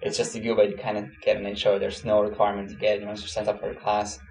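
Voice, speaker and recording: Young man, from Switzerland, student interviewee, quick speech, lowish voice, easygoing. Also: faint music behind, rough recording. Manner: relaxed, many fillers, laughs easily. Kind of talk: casual interview answers.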